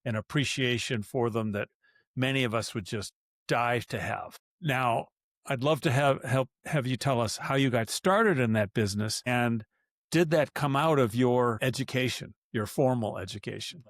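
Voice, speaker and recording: A man's voice: mid-range voice, moderate pace, dry recording. Podcast host man, dry close sound. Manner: rambling with frequent restarts. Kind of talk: podcast interview.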